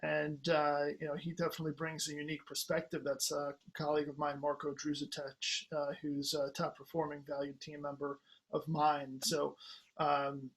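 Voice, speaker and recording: A polite, encouraging man hosting an interview. His voice sits a bit higher than most men's, and he asks his questions in an easy conversational way. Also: monotone, normal speed, fairly bright tone, poor audio. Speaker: host.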